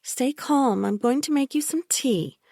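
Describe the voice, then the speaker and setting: A woman's voice: high-pitched, a little slow, gravelly, mellow, dry recording, clean studio sound, pitched above the performer's own voice, heavily acted. A woman voicing a controlling woman caretaker who talks in a thick, sickly sweet, cooing voice. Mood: cloying.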